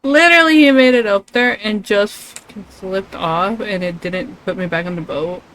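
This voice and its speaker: A woman's voice: medium pitch, moderate pace, fairly bright tone, rough recording. A woman giving casual game stream commentary, muttering and swearing to herself. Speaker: livestreamer.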